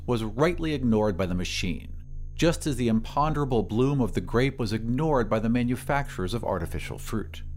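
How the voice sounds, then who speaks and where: A man narrating a story as a scripted dramatic reading. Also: mid-range voice. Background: music.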